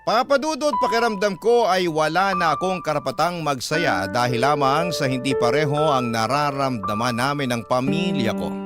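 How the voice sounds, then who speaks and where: A man's voice: fairly high pitch, animated, normal speed, hardly any pauses. A man with a Filipino accent narrating a dramatic radio story as he reads out a letter. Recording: loud piano music under voice. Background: loud piano background music.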